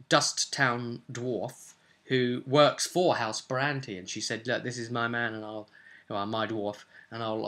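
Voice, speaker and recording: A young man with a bright, forward voice at an average male pitch talks casually to a camera at his desk. He is a game master explaining things informally, wandering from one thought to the next as he thinks aloud. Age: young adult. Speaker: vlog host, tabletop game master. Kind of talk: casual vlog talk about a role-playing game. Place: small furnished room at home, indoors. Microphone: webcam or camera at desk distance.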